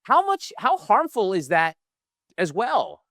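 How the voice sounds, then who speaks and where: A man's voice: sits high, wildly swooping pitch, slow pace, a bit bright, no room sound, clean studio sound. A man hosting a podcast interview, chatty and jokey as he asks his questions. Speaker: podcast host. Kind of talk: interview, casual chat.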